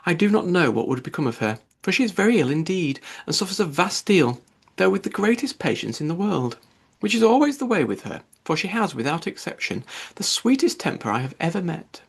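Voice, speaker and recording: A man's voice: high-pitched, sing-song, fairly quick, vocal fry, slightly rough, pitched above the performer's own voice, exaggerated voice. A man voicing a fussy, gushing, easily offended middle-aged mother in a classic novel audiobook, effusive and indignant.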